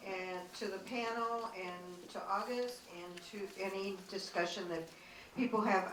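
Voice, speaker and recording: Slow-speaking woman moderating a panel. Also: medium pitch, fairly bright tone. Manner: unhurried, with many fillers. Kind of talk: event moderating. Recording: roomy hall, distant mic.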